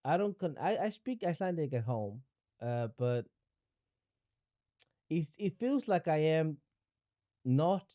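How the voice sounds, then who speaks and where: Mellow-voiced man, searching and thoughtful. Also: on the high side, very animated, slow pace, pauses often, no echo. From Ecuador. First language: Spanish. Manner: searching, with frequent restarts and repeated words. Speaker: podcast guest. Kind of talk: reflective conversational interview.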